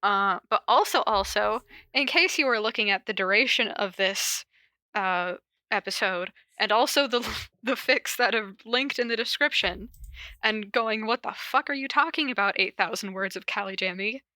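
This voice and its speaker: A woman with a bright, forward voice and a close, dry sound. She talks informally as a podcast host, moving between casual story reading and chat, with a giggly, self-interrupting manner. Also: medium pitch, normal speed, dry recording. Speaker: podcast host reading fan fiction aloud.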